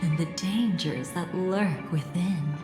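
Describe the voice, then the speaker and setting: A sly, reverberant game schemer. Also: female voice, lowish voice, slow pace. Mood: sly, amused. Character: teasing, sly, elegant young woman, mysterious schemer. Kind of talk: scripted game dialogue. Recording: heavy reverb, music underneath.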